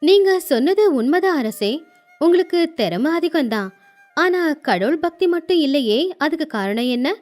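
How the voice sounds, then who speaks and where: A woman voicing a devout, gentle queen and worried wife in a moral tale, respectful and pleading in manner. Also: sits high, animated, moderate pace, soft background music. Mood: gentle reproach.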